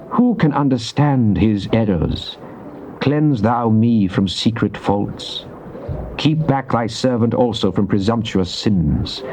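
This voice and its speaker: An actor playing a devout psalmist king, reciting scripture in a solemn, declamatory, deliberate way. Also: medium pitch, darkish tone, natural voice. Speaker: actor reading Psalms in a dramatized Bible recording. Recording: muffled old cassette tape with faint noise.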